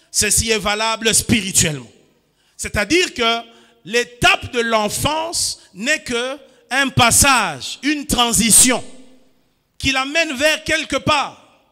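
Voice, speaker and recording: A man from DR Congo preaching a sermon, moving from quiet asides to shouted calls to the congregation. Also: very high-pitched. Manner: fervent preaching with call-and-response, swinging between quiet asides and shouts. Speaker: pastor. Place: church. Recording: church hall reverb.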